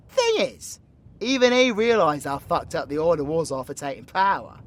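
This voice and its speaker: A man's voice: high-pitched, fairly bright tone. A British man playing a cocky, foul-mouthed supervillain in an audio roleplay, mocking and slangy.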